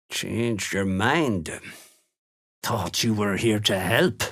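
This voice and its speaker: Gruff, raspy, menacing dwarf slave hunter. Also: male voice, lowish voice, very animated, drawn-out words, a bit bright, exaggerated voice. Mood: blunt, scornful. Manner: blunt, threatening, folksy rustic turns of speech. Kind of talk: video game character dialogue.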